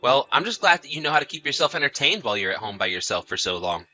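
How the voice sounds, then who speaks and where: A man's voice: mid-range voice, very animated, fast, sharp tone, faint music behind. A man playing an anxious, trusting husband in a scripted audio story, apologetic and full of questions. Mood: probing. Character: anxious, trusting husband often away on business.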